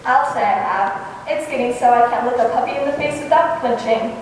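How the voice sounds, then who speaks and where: Wry schoolmarm acted by a woman for a vintage radio sitcom, deadpan wisecracks, captured far from the mic with faint noise. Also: fairly high pitch, a little fast, natural voice. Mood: wry, teasing. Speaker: lead actor in a stage radio play. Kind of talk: 1950s radio comedy acting. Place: live stage before a studio audience. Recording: echoey hall, distant mic, faint noise.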